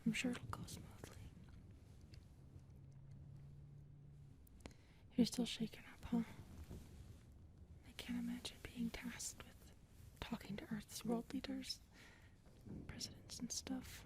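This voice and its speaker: A woman voicing an affectionate, playful alien lover in an ASMR roleplay, speaking softly and intimately and often dropping into breathy whispers. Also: on the high side, flat delivery, measured pace, pauses often, close to an everyday voice. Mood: tender, teasing. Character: affectionate, playful adult female alien lover, tall otherworldly species.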